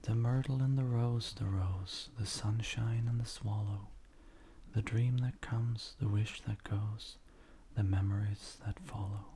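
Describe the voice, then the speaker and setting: A man reads in a breathy, near-whispered ASMR voice. His tone is mellow and soft, and he moves slowly and gently through each phrase. A faint noise sits behind him. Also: on the low side, steady tone. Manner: gentle, unhurried, measured. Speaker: ASMR creator reading poetry. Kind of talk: soft-spoken ASMR poetry reading.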